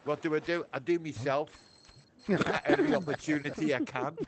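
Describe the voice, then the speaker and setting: A man with a Liverpool Scouse accent, joking loudly and crudely in casual voice chat. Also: on the high side, expressive, a little fast. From England. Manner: loud, crude, sweary banter. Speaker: player in a game stream. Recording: voice chat with background noise.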